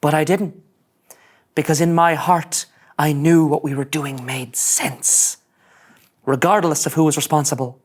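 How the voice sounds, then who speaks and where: A man's voice: on the high side, steady tone, unhurried, pauses often. A man playing an anxious, earnest young starship ensign in a sci-fi comedy, nervous and overdramatic. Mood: brooding narration. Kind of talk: animated sci-fi comedy table read.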